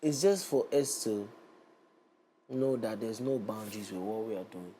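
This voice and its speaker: A man's voice: fairly low pitch, moderate pace, mellow. Ghanaian man talking music over noise. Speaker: Afrobeats artist, guest. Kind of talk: casual interview chat.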